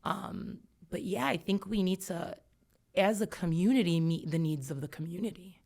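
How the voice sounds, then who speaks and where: Low gravelly-voiced woman educator. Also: normal speed, darkish tone. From United States. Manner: reflective, emphatic, many fillers. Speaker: educator guest. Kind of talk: earnest podcast conversation.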